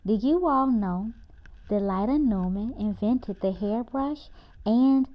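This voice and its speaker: A woman's voice: fairly high pitch, slow pace. Woman reading a firm storybook mom, slightly muffled. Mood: encouraging, instructive. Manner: instructive, firm. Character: encouraging but firm mother in a storybook.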